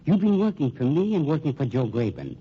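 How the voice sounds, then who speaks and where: An actor playing a menacing, coolly polite funeral home boss in a radio crime drama, speaking in a formal, deliberate, mannered way. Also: male voice, a little high, sing-song, mellow. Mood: coolly accusing. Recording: dull lo-fi old radio recording, background noise.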